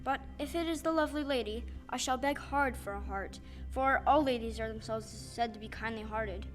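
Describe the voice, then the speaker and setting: A woman's voice: on the high side, moderate pace, soft background music, close to an everyday voice. A woman in an audio play playing an earnest, formal tin woodman. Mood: hopeful. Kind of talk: audio play acting before a live audience.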